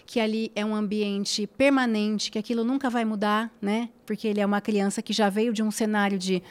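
A woman's voice: mid-range voice, fairly even intonation, a little fast, clean tone. A woman, a podcast guest talking casually and emphatically, repeating phrases for stress and checking in with tag questions. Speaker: guest influencer.

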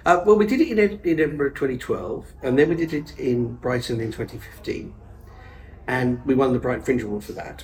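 A man's voice: medium pitch, darkish tone, low-quality recording. Chatty man, rambling and eager. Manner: enthusiastic, rambling, self-interrupting with fillers. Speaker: actor and stage director, podcast guest. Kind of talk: casual conversational interview.

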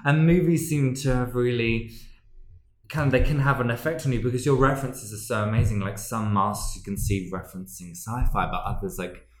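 A man's voice: medium pitch, fairly quick, echoey room. A man hosting a podcast interview, chatting in an enthusiastic, joking way with lots of fillers. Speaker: podcast host. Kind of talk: casual interview chat.

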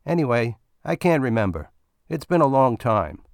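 A man voicing a gruff, irritated male space miner who speaks in short, fed-up replies. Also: mid-range voice, big pitch swings, moderate pace, dry recording, clean studio sound, voice pushed up. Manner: curt, fed-up.

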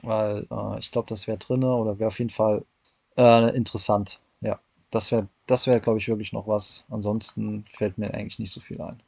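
A man's voice: lowish voice, flat delivery, normal speed, gravelly, a little mellow, husky, no echo. A man in his thirties, a racing driver answering interview questions casually, hesitant with many fillers and restarts, talking over a call from a hotel room. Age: 30s.

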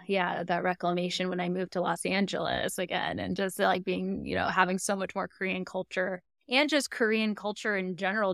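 A woman's voice: fairly low pitch, gravelly, fairly bright tone, clear, resonant tone, no room sound, studio quality. An American woman hosting a podcast, talking in a chatty, reflective way with frequent fillers and light laughs. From United States. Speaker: podcast host. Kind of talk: casual interview chat.

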